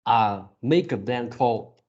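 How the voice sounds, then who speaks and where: A man giving casual fan commentary as a video creator, halting as he repeats words and searches for phrasing. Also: medium pitch, animated, a little mellow, dull audio. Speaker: video creator reacting to a game series.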